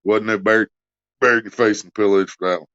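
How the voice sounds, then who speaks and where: Man hosting a livestream, low voice, crude jokey chatter, muffled lo-fi sound. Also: fairly even intonation, a little fast, a bit bright. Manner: crude joking, reads donations aloud. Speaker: livestream host. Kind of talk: casual livestream chat.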